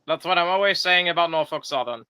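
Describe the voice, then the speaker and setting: A man's voice: fairly high pitch, fast talker, bright, forceful tone, clear, resonant tone. A man hosting a casual livestream, joking and rambling with plenty of fillers. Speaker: livestream host.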